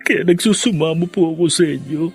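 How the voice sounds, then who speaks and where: An anguished young son in a radio melodrama, pleading in a husky, fairly high voice. Faint music sits behind him. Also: male voice, fairly quick. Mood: sorrowful, pleading. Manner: pleading, heavy with feeling. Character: anguished grown son, sorrowful and confused. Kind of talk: Filipino radio drama acting.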